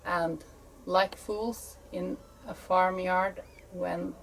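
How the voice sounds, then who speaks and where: A woman's voice: fairly low pitch, little pitch movement, extremely slow, vocal fry, low-quality recording. A woman reading rhythmic verse aloud as an amateur volunteer. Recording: faint background noise and ambience.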